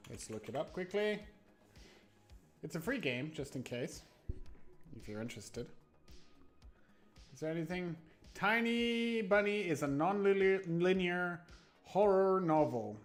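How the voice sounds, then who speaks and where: A man's voice: high voice, expressive, takes it slowly, long pauses. A man hosting a livestream, chatting casually with viewers in a rambling, stop-start way over background music. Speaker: live streamer and host. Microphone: new close microphone, no webcam.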